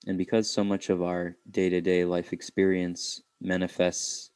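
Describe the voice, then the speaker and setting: A man leading an unscripted guided meditation, speaking reflectively in halting phrases with pauses. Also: sits low, completely flat delivery, darkish tone, smooth, no room sound.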